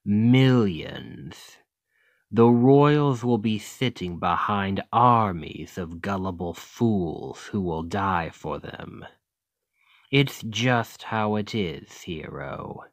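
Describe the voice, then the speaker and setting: A man playing an ancient Demon King, a coldly calculating tyrant who talks in a measured, condescending, menacing way in an ASMR roleplay. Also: on the low side, dry recording, clean studio sound, exaggerated voice.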